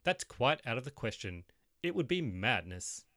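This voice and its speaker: A man's voice: medium pitch, big pitch swings, moderate pace, sharp tone, dry recording, studio quality. A man voicing a mild, vague middle-aged mother who answers plaintively and mildly indignant.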